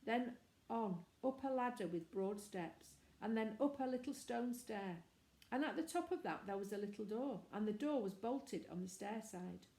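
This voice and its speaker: A woman's voice: on the high side, lively intonation, moderate pace, mellow. A woman reading a children's story aloud as scripted narration. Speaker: storybook reader. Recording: echoey, reverberant room.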